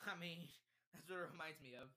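A man's voice: fairly high pitch, brisk, bright, forceful tone. A man rambling and thinking aloud as he watches a show and takes notes, stopping often to correct himself. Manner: distracted, mutters words while writing them down. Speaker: video creator taking notes on a show. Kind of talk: casual live commentary while note-taking. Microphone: desk microphone, level changing during recording.

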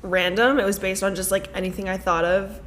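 A woman's voice: medium pitch, steady tone, brisk, a little hiss or hum. A woman co-hosting a casual podcast, bubbly and teasing, with lots of "like" and "um.". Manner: bubbly, teasing, filler-heavy. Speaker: podcast co-host. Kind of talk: casual chat.

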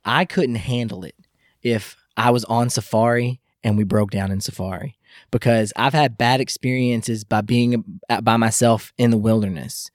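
A relaxed host in casual chat, laughing often and asking rambling questions. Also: medium pitch, moderate pace, no echo, clean studio sound.

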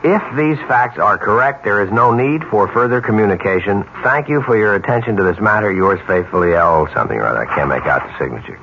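A man's voice: on the low side, expressive, quick pace, few pauses. An actor playing a plain, honest, unassuming family man with a dry wit in a 1970s radio drama. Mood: wry, puzzled. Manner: unassuming, dryly joking, hesitant. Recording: muffled 1970s radio broadcast, faint hiss.

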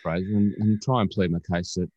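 A man's voice: sits low, fairly even intonation, a little fast, a little mellow, no room sound, lo-fi sound. An Australian man co-hosting a casual podcast, chatting in a rambling, jokey way that often digresses. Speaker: podcast co-host. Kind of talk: casual chat.

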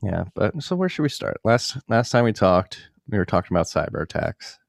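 Young guy hosting a podcast, really low gravelly voice, kinda wry. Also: very animated, normal speed, no echo, studio quality. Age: young adult. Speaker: podcast host. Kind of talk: casual conversational podcast.

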